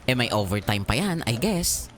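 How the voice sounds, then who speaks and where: A man playing a flamboyant, teasing office coworker in a Filipino audio drama, with a campy, playful, drawn-out delivery and a Filipino accent. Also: medium pitch, very animated, a bit bright. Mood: playful bossy.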